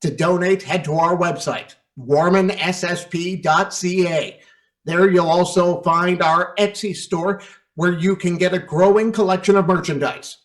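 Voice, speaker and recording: A man's voice: high voice, fairly even intonation, measured pace. A man reading a scripted announcement as the announcer of a radio drama show. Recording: roomy, echoing sound.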